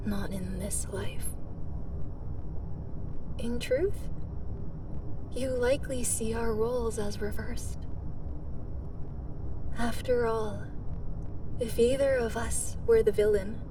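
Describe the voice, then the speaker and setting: Girl doing a bitter immortal hero obsessed w her nemesis, dark fantasy roleplay, real slow n theatrical, whispery, bit far off with clear rumbly noise. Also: steady tone. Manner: slow, brooding, theatrical, often dropping to a breathy whisper. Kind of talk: dramatic audio roleplay monologue, dark fantasy.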